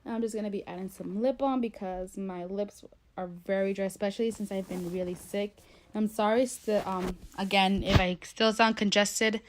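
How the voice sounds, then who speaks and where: A woman's voice: mid-range voice, steady tone, moderate pace, a little hiss or hum. A young woman in her twenties, congested from a cold, chatting through a makeup tutorial in her bedroom with plenty of fillers. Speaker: beauty vlogger. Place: bedroom at home.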